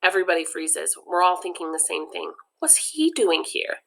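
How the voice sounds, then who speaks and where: A woman's voice: fairly low pitch, normal speed, vocal fry. Woman reading a kids' book aloud. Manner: lively, expressive, explains words to kids. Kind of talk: children's book read-aloud with explanations.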